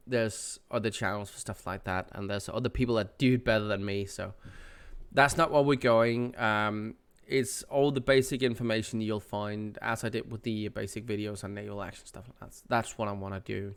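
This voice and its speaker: Male channel host, nasal with a cold, clear tone, loose rambling delivery full of fillers. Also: on the low side, fairly bright tone. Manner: rambling, with many fillers and restarts. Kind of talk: casual channel update.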